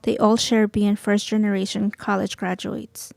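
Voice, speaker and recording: A woman student reporter reading a scripted news story in a measured, formal way. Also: mid-range voice, little pitch movement, gravelly, a little mellow.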